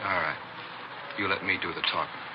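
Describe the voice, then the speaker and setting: An actor playing a big, wary, surly drug pusher with curt, challenging street slang in a 1950s radio crime drama. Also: male voice, low-pitched, fairly even intonation, fairly quick, close to an everyday voice. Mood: suspicious, irritated. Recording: dull, noisy vintage radio recording.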